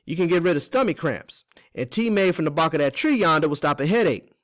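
A man voicing a kindly older enslaved woman, a wise herbalist telling things in a gentle, folksy way for a storybook reading. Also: fairly high pitch, animated, fast, voice pushed up, close to an everyday voice. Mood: instructive.

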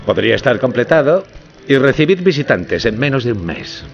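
An actor playing a smug corporate executive, assured and salesmanlike, in dubbed film-style dialogue. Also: male voice, medium pitch, very animated, fairly quick, creaky, lo-fi sound, faint music behind. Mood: smug, persuasive. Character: smug corporate executive pitching a business plan.

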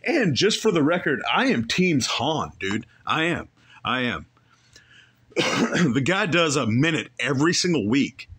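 A man's voice: fairly high pitch, very animated, a little slow, a bit bright, slightly rough. A man hosting a podcast, giving rambling, opinionated commentary on a comedy show clip and correcting himself as he goes. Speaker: podcast host reacting to a clip. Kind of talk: casual commentary.

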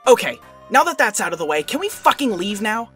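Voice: mid-range voice, big pitch swings, brisk, fairly bright tone, faint music behind. An actor voicing an irritable, sarcastic young troll boy who snaps in exasperation in a cartoon comic dub. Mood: exasperated, sarcastic.

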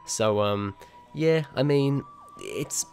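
A man's voice: mid-range voice, sing-song, drawn-out words, gravelly, darkish tone, soft background music. A young man giving a chatty, rambling spoken review, his voice a little nasal as he recovers from being sick. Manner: chatty, rambling, many ums and restarts. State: recovering from illness, slightly nasal. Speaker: video reviewer.

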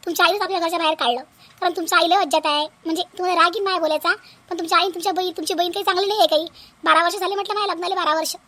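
A very high, fast-talking village wife acted by a woman speaks rustic Vidarbha Marathi. She is shrewd, practical and aggrieved, a scolding mother from a comic family cartoon. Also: sharp tone. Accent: rural Vidarbha Marathi.

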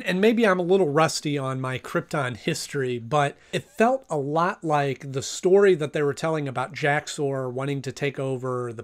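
A man in his thirties giving a casual review to camera as host of a video. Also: a little high. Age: 30s. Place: small home room, indoors.

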